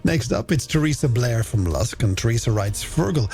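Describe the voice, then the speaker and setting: A man's voice: medium pitch, lively intonation, normal speed, darkish tone. An Irish man hosting a late-night radio music show, presenting with a playful, theatrical and polished delivery. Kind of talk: radio DJ presenting, game segments and shout-outs. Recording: music bed under the voice.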